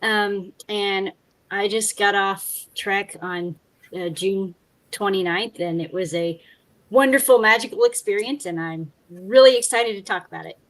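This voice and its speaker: A woman's voice: medium pitch, a little slow. Cheerful Texan woman. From Texas. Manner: cheerful, upbeat. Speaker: panelist.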